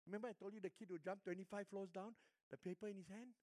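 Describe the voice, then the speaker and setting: Older Singaporean pastor preaching. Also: male voice, high voice, steady tone, fast talker, no echo. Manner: storytelling, swings between hushed and emphatic. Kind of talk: sermon.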